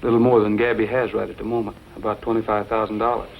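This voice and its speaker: An actor playing a kindly, steady young cowboy hero in a 1940s western drama, on a dull, noisy recording. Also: male voice, lowish voice, quick pace, gravelly. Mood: reassuring. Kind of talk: 1940s film and radio western acting. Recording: dull lo-fi old recording, background noise.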